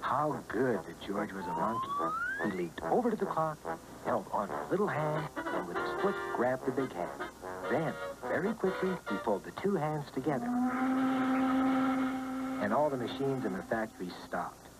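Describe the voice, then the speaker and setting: Expressive man narrating a storybook. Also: mid-range voice, normal speed, over loud music, poor audio.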